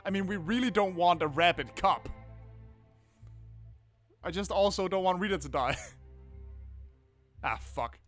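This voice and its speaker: A man hosting a game playthrough, reading narration aloud and commenting in a casual, expressive, sweary way. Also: high voice, measured pace, long pauses. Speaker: gaming video host. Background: faint game music.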